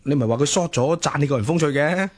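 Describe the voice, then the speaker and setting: A man's voice: a little high, quick pace, close to an everyday voice. An actor with a Hong Kong Cantonese accent playing a cocky, flippant young student womanizer in radio drama dialogue, teasing and dispensing mock wisdom. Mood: flippant, prodding.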